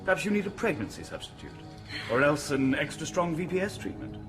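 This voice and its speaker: A man's voice: fairly high pitch, wildly swooping pitch, a little fast, soft background music. An actor playing a shallow young man who talks in a glib, breezy way, in a radio drama.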